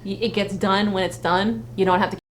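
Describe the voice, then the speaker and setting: A woman co-hosting a casual live fan vlog, animated and joking, repeating phrases for emphasis. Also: mid-range voice, a little fast, crowd noise. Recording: noisy home livestream audio.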